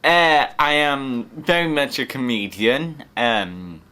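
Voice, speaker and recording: A young man with an English accent talks earnestly about the support he receives, hesitating now and then on fillers. His tone is bright and forward, at an ordinary pitch. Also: takes it slowly, smooth. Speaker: young person using the service. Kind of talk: interview testimony.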